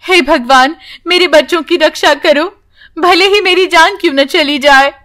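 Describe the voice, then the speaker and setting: Storybook mother, female narrator acting: high-pitched, slow, worried, protective. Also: a bit bright. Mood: desperate. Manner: anxious, emotional. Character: worried, protective village mother of two daughters. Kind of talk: children's storybook character voice.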